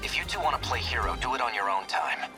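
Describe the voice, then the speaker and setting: A man's voice: mid-range voice, very animated, fast talker, over music, natural voice. A man playing a level-headed young tactician pilot in a game dub, speaking in a blunt, matter-of-fact, critical way. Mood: dry, annoyed. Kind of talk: English game dub voice acting.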